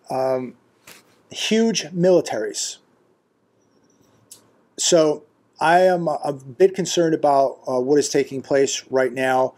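A man's voice: medium pitch, darkish tone. A man in his fifties, seated indoors in a living room, giving an unscripted, alarmed commentary monologue. He is emphatic and repetitive, with frequent restarts and fillers. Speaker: commentary vlogger.

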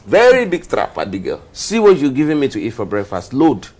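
A man's voice: lowish voice, big pitch swings, fairly quick, slight background noise. A man with a Nigerian accent playing a jobless, suspicious husband who taunts and exclaims in a screen drama. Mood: mocking, suspicious.

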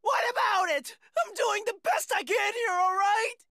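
A man's voice: very high voice, expressive, moderate pace, sharp tone, no echo, clean studio sound, heavily acted. A man voicing a cowardly, whiny teenage boy swordsman in an anime dub, tearful and strained, wailing and stammering. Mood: anxious, pleading.

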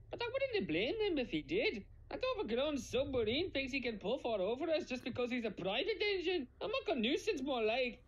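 A blunt, scornful cartoon steam engine, a male part acted by a woman. The voice is fairly high and swings very widely in pitch, on a slightly dull recording. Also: slightly rough.

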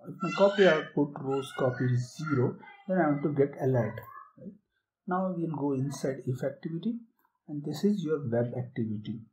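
A man presenting a software tutorial, explaining a screen-share demo step by step with occasional fillers. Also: a little high, slight background noise, poor audio.

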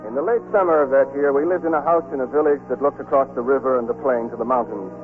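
A man's voice: mid-range voice, fast, faint music behind. A man hosting and narrating a scripted 1940s radio drama. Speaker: host and narrator. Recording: tinny, muffled 1940s radio broadcast.

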